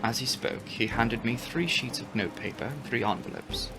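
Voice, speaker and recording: A man narrating a classic novel as a dramatic audiobook, in a measured, expressive storytelling manner. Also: medium pitch, creaky, loud music behind the voice.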